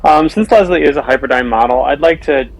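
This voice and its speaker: A man's voice: fairly high pitch, a little fast, poor audio. A man playing a tabletop role-playing game, casually describing what his character does.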